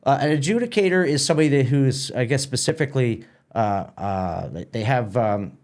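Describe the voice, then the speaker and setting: A middle-aged Canadian man talks casually as an interview guest. His voice sits at an ordinary medium pitch, and he explains things haltingly, often doubling back on his words. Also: expressive, a little mellow. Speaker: theatre company president, interview guest.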